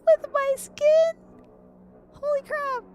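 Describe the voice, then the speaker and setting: Woman streamer, excitable reactions, swears and laughs. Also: very high voice, takes it slowly, dull audio, soft background music. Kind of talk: gaming stream commentary.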